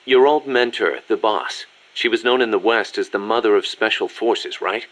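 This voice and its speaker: A man's voice: on the low side, moderate pace, fairly bright tone, natural voice, poor audio. A man playing a businesslike, articulate military second-in-command explaining things in a video game. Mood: earnest, admiring. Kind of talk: scripted video game briefing dialogue.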